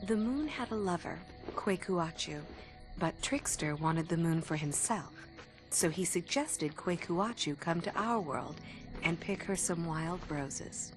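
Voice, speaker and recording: An actress playing a gentle woman who tells legends softly in a video game. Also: fairly low pitch, animated, a little slow, poor audio. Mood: tender, wistful. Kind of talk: video game cutscene acting. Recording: loud music underneath.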